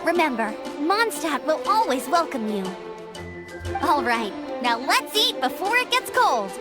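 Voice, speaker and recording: A woman's voice: high voice, very animated, unhurried. A woman voicing a cheerful, friendly young female scout. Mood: upbeat. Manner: upbeat, friendly. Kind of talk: game cutscene dub. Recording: game cutscene with music behind.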